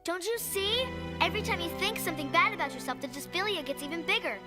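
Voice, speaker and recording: A woman voicing a determined, spirited twelve-year-old girl in an animated cartoon. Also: very high voice, quick pace, over loud music. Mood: insistent.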